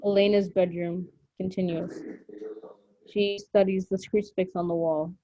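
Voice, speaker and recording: A woman reading scripted stage directions as narrator of a drama, in short, measured phrases. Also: fairly low pitch, little pitch movement, highs cut off, crowd noise.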